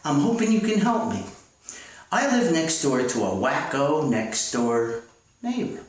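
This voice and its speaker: A man's voice: fairly high pitch, sing-song, measured pace. A man rambling through comedic advice as a host, hesitant and folksy, reading out letters. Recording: echoey, distant mic with faint noise.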